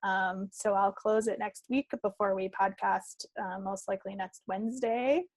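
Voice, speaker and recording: A woman's voice: mid-range voice, steady tone, normal speed, no room sound. A woman co-hosting a podcast, talking in an informal, folksy, chatty way. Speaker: podcast co-host. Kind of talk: casual chat.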